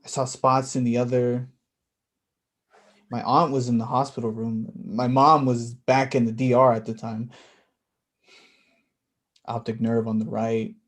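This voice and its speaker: A man's voice: medium pitch, little pitch movement, measured pace, pauses often, lightly acted. A man acting a reflective blind young man in a staged play reading, hesitant and conversational.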